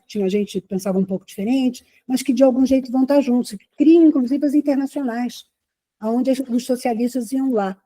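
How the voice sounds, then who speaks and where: A woman from Rio de gives a conversational lecture to an audience. Her voice is fairly high but very dark and mellow, with a husky edge. She keeps checking in with rhetorical questions as she speaks. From Rio de Janeiro, Brazil.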